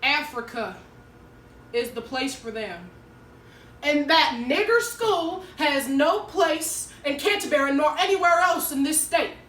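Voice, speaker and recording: A woman's voice: sits high, animated, unhurried, fairly bright tone. A woman playing a stern, hostile townsperson in a declamatory dramatic stage monologue. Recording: roomy, distant mic, noisy.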